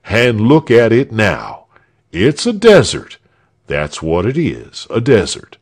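A man voicing a gruff, uneducated soldier in armor who grumbles in rough dialect. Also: lowish voice, wildly swooping pitch, slow, voice pushed up, full character voice. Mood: grumbling. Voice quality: rough dialect, dropped g's. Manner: grumbling, boastful.

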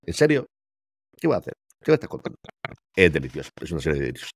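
Deep-voiced opinionated Spanish man. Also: very animated, very fast, slight background noise. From Spain. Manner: opinionated, emphatic, fires off rhetorical questions. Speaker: TV series critic co-host. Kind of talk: casual review chat. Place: home room, remote call. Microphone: headset and desk mic over video call.

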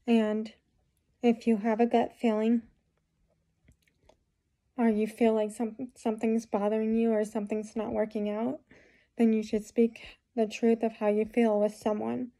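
A young woman talking to her phone camera in a small room, giving rambling advice with many fillers, a bit hoarse from a cold. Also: a little high, monotone, measured pace, pauses often, warm tone. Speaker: vlogger. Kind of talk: casual talk to camera. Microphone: phone camera at close range.

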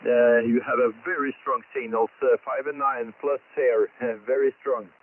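A man with a Norwegian accent talking as a ham radio operator over shortwave, halting and polite, often repeating himself. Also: medium pitch, fairly even intonation, slight background noise. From Norway. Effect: heard over shortwave radio. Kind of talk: ham radio contact exchange. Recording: tinny band-limited shortwave radio signal.

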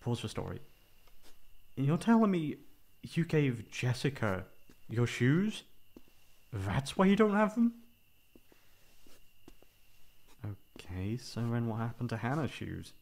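A man's voice: medium pitch, very animated, unhurried, creaky, long pauses, a little mellow, voice pushed up, full character voice. A man playing a sweet, teasing boyfriend, tender and playfully exasperated, in an intimate ASMR roleplay. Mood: amused disbelief. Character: sweet teasing boyfriend caring for tipsy girlfriend.

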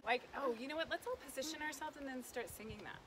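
A woman's voice: high voice, fast talker. A middle-aged woman, a mom on a family hike, chatting playfully and goofing around outdoors. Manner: playful, silly, bursts into song. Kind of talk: casual chat. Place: outdoors on a canyon hiking trail. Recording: noisy outdoor phone audio.

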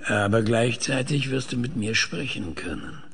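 A man playing a methodical, probing researcher in a science fiction audio drama, questioning in a measured, clinical way. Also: mid-range voice, a bit bright, breathy edge.

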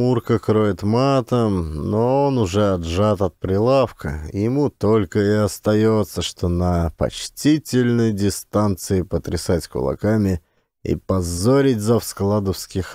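A man narrating an audiobook in a measured, ironic storytelling manner. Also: fairly low pitch, mellow, no room sound, studio quality.

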